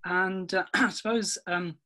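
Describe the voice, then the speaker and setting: A man hosting a poetry festival panel, polite and gently halting as he introduces each guest. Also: high voice, steady tone. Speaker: festival host. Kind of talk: chairing a panel.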